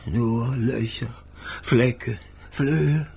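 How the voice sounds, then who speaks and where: An actor playing an old shaggy talking carpet in a children's radio play, husky and plaintive, muttering in broken German with a foreign accent. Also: male voice, mid-range voice, steady tone, drawn-out words, darkish tone, full character voice. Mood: lamenting. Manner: broken grammar, muttering, lamenting.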